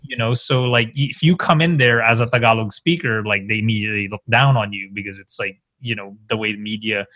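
Bright-voiced man rambling with lots of "like". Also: fairly low pitch, fast, hardly any pauses, no echo. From Philippines. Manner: rambling, heavy use of "like" and "um". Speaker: guest. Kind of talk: casual conversation.

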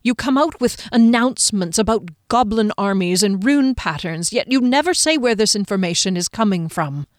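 A woman voicing a proud male fantasy captain in an audiobook, formal, deliberate and intense, holding down his anger. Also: mid-range voice, animated, no room sound, studio quality, close to an everyday voice. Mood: frustrated, cold.

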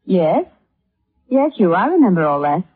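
An actress playing an anxious, bewildered, polite wife in a 1950s radio drama, speaking hesitantly and with emotion. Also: female voice, fairly low pitch, big pitch swings, moderate pace, breathy edge. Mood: anxious, relieved. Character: anxious middle-class American wife, polite, bewildered, wounded. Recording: muffled vintage radio recording.

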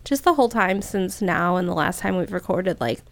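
A woman's voice: on the low side, lively intonation, brisk, no echo. A woman co-hosting a podcast in casual chat, rambling and laughing often. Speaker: podcast co-host. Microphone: studio mic.

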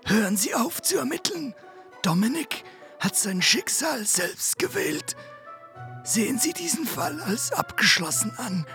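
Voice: mid-range voice, big pitch swings, unhurried, soft background music. An actor playing a menacing, secretive villain in an audio drama, dictating a formal, threatening letter. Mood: coldly threatening.

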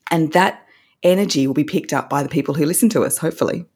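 An Australian woman talks through her advice in an easy, animated way, laughing now and then. Her voice sits low and a touch husky. She sounds like a voice coach chatting on a call. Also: quick pace. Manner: warm animated explainer, laughs often. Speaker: voice coach guest. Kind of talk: conversational interview.

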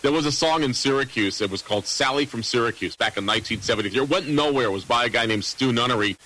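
Quick-talking male news contributor, forceful bright tone, eagerly jumping into casual radio discussion. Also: medium pitch, low-quality recording.

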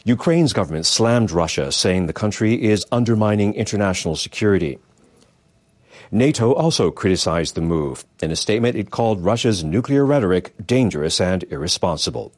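A man's voice: on the low side, animated, brisk, no echo. A man reading scripted international news as a newsreader. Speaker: news reader.

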